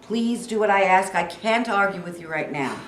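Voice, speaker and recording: Woman acting brisk emergency doctor, echoey room. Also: mid-range voice, animated, distant mic, lightly acted. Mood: commanding. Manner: clipped, commanding, questioning. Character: brisk, authoritative young male emergency doctor. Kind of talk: staged reading of a play. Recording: echoey room from afar, faint noise.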